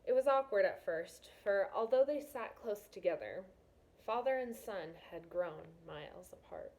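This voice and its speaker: A woman's voice: medium pitch, lively intonation, a little slow, darkish tone, dull audio. A woman teacher reading a novel aloud to students, with casual, encouraging asides. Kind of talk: read-aloud of a children's novel with explanatory asides.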